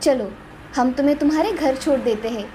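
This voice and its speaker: A woman's voice: sits high, a little fast, warm tone, slight background noise, close to an everyday voice. A woman playing a kind, affectionate, generous aunt in a cartoon story.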